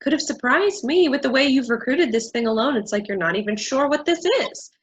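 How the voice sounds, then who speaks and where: A woman's voice: high voice, animated, a little fast, breathy edge, highs cut off. An actress playing an exacting, scornful woman boss who lectures emphatically in a staged play reading.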